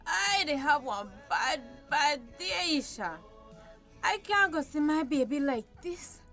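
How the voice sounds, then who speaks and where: A woman's voice: high voice, lively intonation, slow, bright, forceful tone, exaggerated voice. An actress playing a drunken, self-pitying young mother in a radio drama, slurring in a Belizean Creole accent. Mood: bitter, self-pitying. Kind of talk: radio drama acting in dialect. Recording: radio drama with faint music behind.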